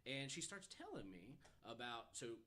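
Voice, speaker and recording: A man's voice: medium pitch, wildly swooping pitch, moderate pace, pushed and bright. A man telling a story conversationally on a podcast, often hedging and dropping in "you know". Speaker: podcast panelist.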